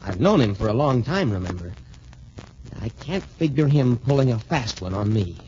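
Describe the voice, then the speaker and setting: Casting: male actor as wholesome college athlete hero, earnest, animated delivery, 1940s radio serial on a dull recording. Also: mid-range voice, moderate pace, slight background noise, lightly acted. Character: earnest, trusting young male student boxer hero.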